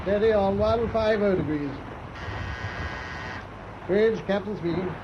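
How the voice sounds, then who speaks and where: High-voiced, clipped British naval captain. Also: male voice, takes it slowly. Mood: brisk, commanding. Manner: clipped, commanding, decisive. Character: brisk, composed British naval destroyer captain at war. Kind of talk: radio drama acting. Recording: dull lo-fi radio recording, loud ship noise.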